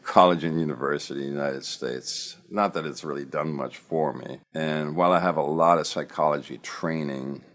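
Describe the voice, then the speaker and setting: A man's voice: extremely low pitch, normal speed, hardly any pauses, a bit bright. A man in his fifties with a slight German accent, telling his life story in an earnest, reflective monologue as a self-help speaker. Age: 50s. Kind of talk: personal monologue to camera.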